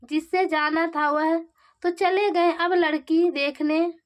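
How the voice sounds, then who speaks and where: A woman's voice: very high-pitched, fairly even intonation, slow. A woman voicing a pushy, scornful older aunt in a retold serial drama.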